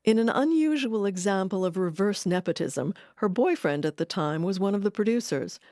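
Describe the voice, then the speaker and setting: A woman's voice: mid-range voice, animated, normal speed, a little mellow, dry recording, clean studio sound. A woman reading scripted documentary narration with wry personal asides.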